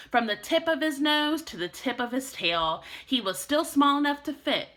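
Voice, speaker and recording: A woman with a fairly high, very bright voice reads a children's story aloud. She sounds like an elementary teacher, expressive and clearly speaking to young listeners.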